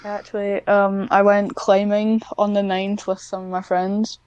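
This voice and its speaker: A woman talks casually. Her voice is clean and smooth, recorded very dry. She wanders off track and pokes fun at herself. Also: mid-range voice, little pitch movement, measured pace, a little mellow, dry recording, muffled recording. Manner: rambling, self-deprecating, easily sidetracked. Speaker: podcast guest, ASMR script writer. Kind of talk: casual chat.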